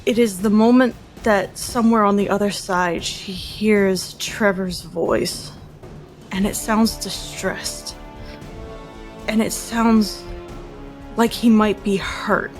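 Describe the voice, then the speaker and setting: A woman's voice: medium pitch, steady tone, slow pace. A woman telling her character's actions in a tabletop game, urgent and vivid. Faint music plays behind her. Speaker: player.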